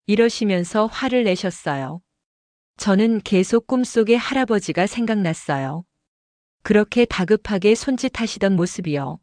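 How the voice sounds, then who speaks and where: A woman narrating an audio drama in the first person, in a calm storytelling manner, recorded close. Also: mid-range voice, moderate pace, no echo.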